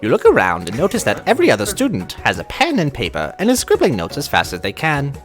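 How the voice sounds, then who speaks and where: A young man hosts a let's-play with casual banter and theatrical read-aloud narration. His pitch moves very widely from line to line, over faint background music. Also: wildly swooping pitch, quick pace. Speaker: gaming channel host.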